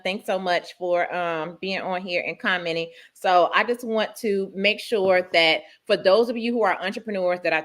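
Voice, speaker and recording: A woman's voice: fairly low pitch, a bit bright. A woman hosting a live stream interview, speaking earnestly with frequent fillers. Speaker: host.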